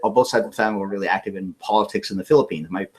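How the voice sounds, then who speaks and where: A man from California with a lowish voice speaks as an interview guest. He tells his life story earnestly and in conversation, often stumbling and restarting words as he thinks. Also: rapid-fire, slightly rough.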